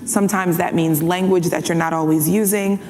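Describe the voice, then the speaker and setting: A woman in her thirties speaking on a conference panel, measured and building her points in repeated phrases. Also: fairly low pitch, steady tone, darkish tone, slight background noise. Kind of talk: panel discussion. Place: large conference hall stage. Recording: reverberant hall with faint ambience.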